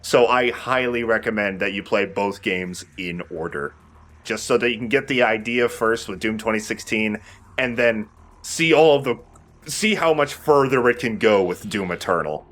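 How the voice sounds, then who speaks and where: A man's voice: lowish voice, normal speed, fairly bright tone. A man hosting a let's play, chatting casually about a game and often rephrasing himself.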